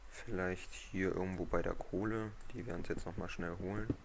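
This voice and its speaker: A man with a cold, hoarse, giving relaxed, rambling commentary while he plays a game. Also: low voice, moderate pace. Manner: relaxed, rambling, thinks aloud. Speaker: gaming channel host. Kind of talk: casual let's-play commentary. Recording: noisy home mic recording.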